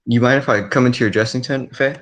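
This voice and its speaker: A man playing a quiet, lovelorn but stubborn circus man in a 1940s radio drama, hesitant and repeating himself. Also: mid-range voice, steady tone, fast, close to an everyday voice.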